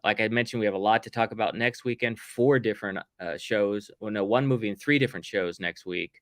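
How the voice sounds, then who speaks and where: A man hosting a casual sci-fi review podcast, talking loudly and upbeat, projecting his voice. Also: lowish voice, brisk, dry recording. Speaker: podcast host.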